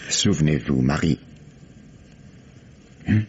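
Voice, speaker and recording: An actor playing a patient, methodical police commissioner in a radio mystery, measured and probing, courteous but firm. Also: male voice, rumbling low, expressive, darkish tone, exaggerated voice. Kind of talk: French radio mystery drama acting. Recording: dull, noisy old radio recording.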